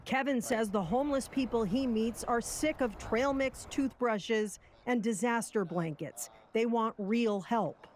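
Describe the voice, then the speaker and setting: An American woman reports for television news in a fairly high-pitched voice. She reads scripted narration in the measured form of a news package. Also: a little mellow, a little hiss or hum.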